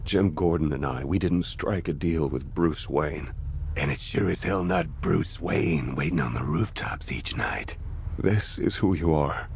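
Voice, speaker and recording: A bitter American gangster villain for a video game, brooding and menacing. The voice is extremely low and gravelly, with taunts dragged out slowly over faint noise. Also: male voice, heavily acted. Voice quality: gravelly, growling. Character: bitter, menacing disfigured male gangster crime boss.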